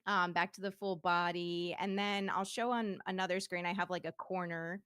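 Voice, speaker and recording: A woman's voice: fairly low pitch, fairly even intonation, moderate pace, a bit bright, clean tone, no echo, studio quality. A woman VTuber chatting casually and demoing her streaming setup, giggling often. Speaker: VTuber guest. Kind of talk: casual livestream demo chat. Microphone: streaming microphone.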